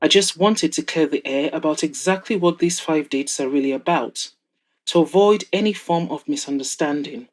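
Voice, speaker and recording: Woman acting a proud, exasperated woman, lowish voice. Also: normal speed, a little mellow, soft background music. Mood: earnest. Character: Gabrielle, proud, guarded, exasperated woman fashion designer. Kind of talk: audio drama character dialogue.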